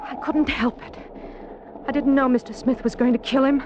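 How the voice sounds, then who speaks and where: An actress playing a frightened, remorseful young woman with a halting, pleading manner in a 1950s radio drama. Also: sits high, steady tone, lightly acted. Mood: nervous, evasive. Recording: muffled, noisy 1950s radio recording.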